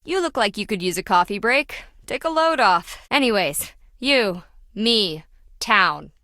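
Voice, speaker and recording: A woman's voice: medium pitch, lively intonation, measured pace, bright, forceful tone, no room sound, studio quality. An actress playing a brash, slippery woman treasure hunter in a video game, with wry, breezy, slangy teasing. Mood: sarcastic.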